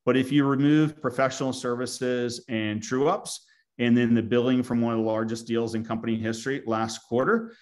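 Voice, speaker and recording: Male company executive, mid-pitched, answering questions on an earnings call. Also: steady tone, moderate pace.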